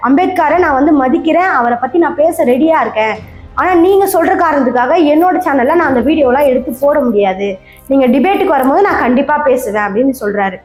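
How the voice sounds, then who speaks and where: A woman's voice: sits high, animated, quick pace, a little mellow, soft background music. A woman hosting an informal explainer, retelling an online dispute conversationally in a roomy space. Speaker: channel host. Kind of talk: informal explainer commentary on social media feuds.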